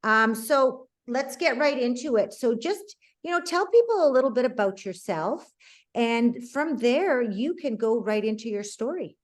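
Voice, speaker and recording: Measured woman podcast host. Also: fairly high pitch, lively intonation. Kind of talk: friendly interview hosting.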